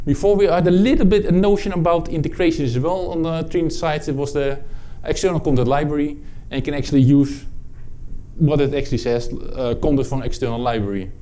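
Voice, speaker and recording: Mellow-voiced Dutch man giving a tech talk. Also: on the high side. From Netherlands. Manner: halting, many uh fillers and restarts. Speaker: product owner presenting at a conference. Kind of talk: technical conference talk. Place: conference room with audience.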